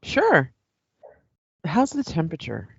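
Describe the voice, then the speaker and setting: Woman acting a lively, courteous broadcast host. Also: sits low, slow pace, creaky, husky, no room sound, highs cut off, full character voice. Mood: casual, friendly. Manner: courteous, professional. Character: polite, composed female current-affairs broadcast host.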